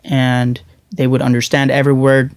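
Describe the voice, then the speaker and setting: A young man from Norway, a musician guest, talking thoughtfully in a casual interview, often pausing and restarting his words. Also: medium pitch, monotone, smooth, dry recording.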